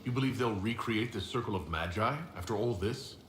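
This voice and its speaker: A man's voice: on the low side, fairly quick, fairly bright tone, close to an everyday voice. A man voicing a composed, inquisitive fantasy leader in a video game, speaking directly and mostly in questions. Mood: composed, curious. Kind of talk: video game dialogue acting. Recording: roomy echo, clearly noisy game capture.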